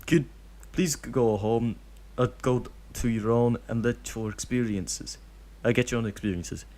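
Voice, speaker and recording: Man voicing a firm, weary adult ninja, slightly lifted, low-key. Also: unhurried, dark tone, lightly acted. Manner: firm, tired, lightly raised.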